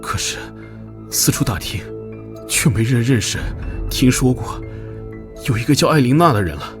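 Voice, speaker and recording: An actor playing a desperate, haunted young porter in an animated drama, with a pleading and hesitant delivery. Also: male voice, medium pitch, normal speed, music in the background, lightly acted. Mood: haunted grief.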